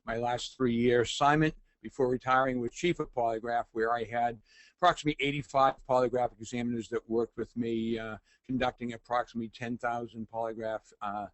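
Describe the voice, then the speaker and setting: A man in his sixties with a Boston accent, presenting a webinar informally and haltingly, with frequent hesitations and repeats. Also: mid-range voice, fairly even intonation, no room sound. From Boston, United States. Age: 60s. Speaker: webinar host, retired agent and forensic lecturer.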